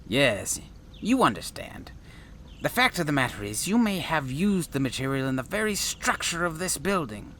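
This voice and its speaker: Man playing an animated, bright-voiced eccentric space traveler. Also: on the high side, normal speed, a little hiss or hum. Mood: persuasive. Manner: theatrical, exclamatory, wordy and formal. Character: eccentric male space traveler and professor, insistent, flustered, grandiose. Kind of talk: sci-fi audio drama acting.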